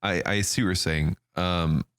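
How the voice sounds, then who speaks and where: Low male voice, native German speaker from Germany, casual and blunt podcast talk, close dry sound. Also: steady tone, normal speed, fairly bright tone, clear, resonant tone, no echo. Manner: blunt, slangy, swearing. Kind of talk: casual opinionated chat.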